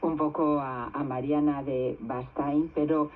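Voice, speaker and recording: A man's voice: a little high, fairly even intonation, measured pace, narrow, tinny audio. A man, a radio co-host politely inviting a guest to say more. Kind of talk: radio interview. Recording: thin shortwave radio reception.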